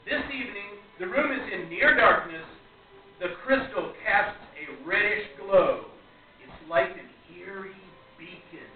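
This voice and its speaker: Male radio play narrator: high voice, scripted delivery, tinny and noisy distant sound. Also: unhurried, heavy reverb. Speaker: moderator and narrator.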